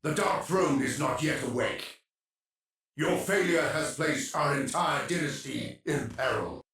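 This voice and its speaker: A man's voice: a little high, measured pace, a bit bright. A man voicing an imperious, ancient machine pharaoh in a video game, stately, formal and cold. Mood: imperious, grave. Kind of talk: dramatic video game cutscene acting. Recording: heavy cavernous echo, distant, under music.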